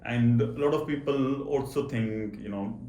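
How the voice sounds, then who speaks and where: A man with a stutter speaking haltingly and earnestly as an interview guest about his own experience. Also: mid-range voice, dark tone. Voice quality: stutter, with repeated words and blocks. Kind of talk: personal interview talk. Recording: echoey room, faint background noise.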